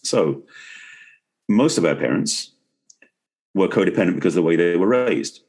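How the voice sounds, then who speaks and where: A man's voice: deep voice, lively intonation, normal speed, a little mellow. A middle-aged man explaining things conversationally as an expert guest in an interview, often rephrasing as he goes. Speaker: podcast guest, relationship expert and author.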